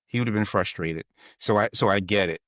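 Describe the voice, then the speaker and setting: A low-voiced man hosts a casual interview in a dry, close-miked sound. He thinks aloud with restarts, telling stories from his own working life before he puts his question. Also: a bit bright, no room sound. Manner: searching, halting, with restarts and reflective anecdotes. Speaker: podcast host.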